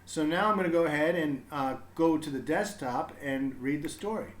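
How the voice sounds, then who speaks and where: Male streamer, slightly high voice, casual explainer who thinks aloud, roomy echoing sound. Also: moderate pace. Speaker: live streamer reading to children. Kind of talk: casual explanatory livestream talk.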